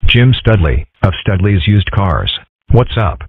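A man's voice: lowish voice, animated, slow pace, slightly rough, dry recording, phone-quality sound, exaggerated voice. A man voicing a brash, belligerent used-car salesman father, dismissive and blustering, in a cartoon comic dub. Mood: breezy.

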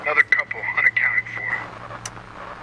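A man's voice: fairly high pitch, unhurried, dull audio. An actor playing a male hunter giving clipped reports over a radio, in a video game. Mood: curt. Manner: curt, clipped radio talk. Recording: loud static over a radio receiver.

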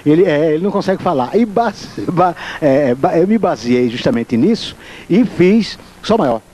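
Older musician guy in an old archive clip, talks haltingly, stops and restarts. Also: on the high side, animated, warm tone. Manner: hesitant, halting speech. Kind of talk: archive interview.

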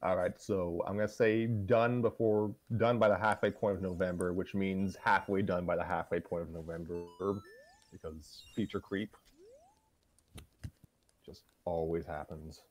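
A man in his twenties chatting casually as a show host giving an update, halting and wry, often restarting his sentences. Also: sits low, steady tone. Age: 20s. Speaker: host of a story-reading voice show. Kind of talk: casual chat, project update.

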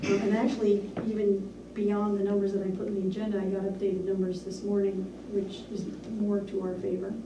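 A woman's voice: mid-range voice, monotone. Businesslike woman in an echoey meeting room. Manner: measured, businesslike, with frequent ums. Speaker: town administrator. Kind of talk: reporting at a board meeting. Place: small municipal meeting room. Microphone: far room camera. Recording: echoey room, distant mic, hiss.

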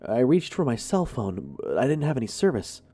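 A man narrates horror in a conversational first-person style. His voice sits at a middle pitch with a soft, mellow tone. He is expressive and animated, moving widely up and down as he tells it. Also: fairly quick, breathy edge.

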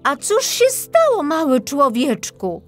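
A woman's voice: sits high, sing-song, takes it slowly, soft background music, pitched above the performer's own voice, full character voice. A woman voicing kind, curious young girls in a storybook, earnest and helpful. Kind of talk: acted storybook dialogue.

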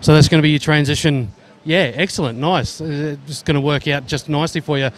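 Chatty Australian interviewer at an expo. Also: male voice, a little high, fairly even intonation, a little fast, a little hiss or hum. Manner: friendly, chatty, Aussie slang like mate. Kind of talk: casual trade show interview. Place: indoor expo hall, busy. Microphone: handheld mic.

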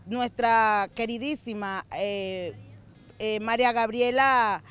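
A woman's voice: a little high, steady tone, slow, pushed and bright, clean tone. A middle-aged Venezuelan woman hosting community TV, interviewing people outdoors on a street with a handheld mic, effusive and fervent. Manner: effusive, fervent, repeats herself. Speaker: community TV host.

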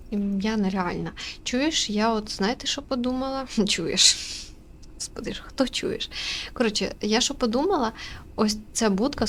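Casting: female gaming streamer, mid-pitched, informal and chatty, halting delivery, light ambient noise. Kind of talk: casual let's-play commentary. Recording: faint room noise and ambience.

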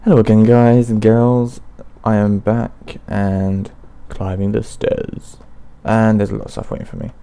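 A young man casually talking through a video game, mumbling to himself in an unhurried way, his throat bothering him. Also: fairly low pitch, flat delivery, creaky, mellow, clear, resonant tone. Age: young adult. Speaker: gaming channel host. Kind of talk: casual let's play commentary.

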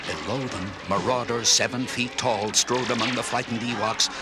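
A man's voice: mid-range voice, moderate pace, heavy background noise. A man narrating a children's story in a scripted, dramatic style.

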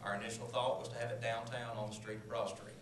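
An earnest man delivers a formal thank-you address from a council podium. His voice sits at an ordinary middle pitch. The big chamber makes it sound roomy, with steady background noise. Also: fast talker. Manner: earnest, lists names and thanks. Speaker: public speaker at city council.

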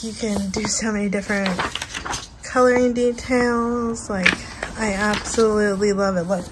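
A young woman hosting a casual, soft-spoken flip-through at home, talking gently and relaxed with lots of "like". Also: medium pitch, noisy background. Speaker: channel host. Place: indoors at home, over a bed.

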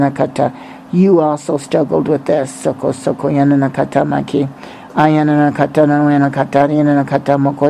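A man's voice: on the high side, gravelly, dark tone. A man preaching a religious prophecy, breaking short phrases up with repetitive, incantatory chanting in tongues, over some background noise.

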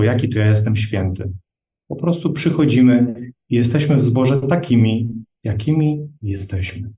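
A man delivers an earnest, measured sermon and keeps turning to his listeners as brethren. His voice is mellow and sits at an ordinary pitch. The dull, lo-fi recording catches him from a distance in an echoey hall. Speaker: lay preacher.

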